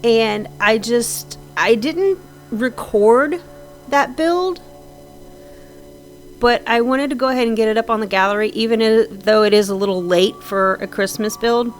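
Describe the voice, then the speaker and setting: A woman's voice: on the high side, a little slow, faint music behind. A woman with a slightly scratchy voice from sinus trouble, chatting casually and rambling with frequent ums and restarts over her game build. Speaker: Sims builder narrating a speed build.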